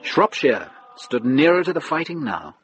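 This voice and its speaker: A man narrating a radio drama in a scripted, literary style, with measured storytelling phrasing. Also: a little high, sing-song, background noise.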